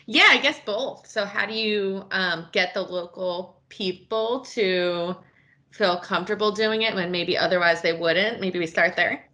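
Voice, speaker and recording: A woman's voice: mid-range voice, measured pace, bright, forceful tone. A woman co-hosting a casual interview, asking long, rambling questions in a supportive way. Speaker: podcast co-host.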